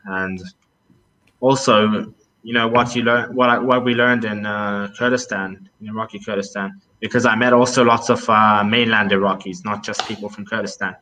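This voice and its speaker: A man's voice: lowish voice, steady tone, normal speed, bright, forceful tone, a little hiss or hum, low-quality recording. A young man talking casually as a podcast guest, hesitant, with many fillers and restarts. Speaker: podcast guest, travel video maker. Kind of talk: casual conversation.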